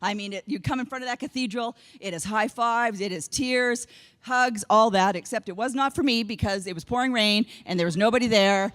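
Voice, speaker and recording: A woman's voice: a little high, brisk, a bit bright. A woman in her fifties, from Canada, giving a comedic keynote talk, self-mocking and quoting herself and her family in voices. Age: 50s. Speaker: keynote speaker. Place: conference hall stage.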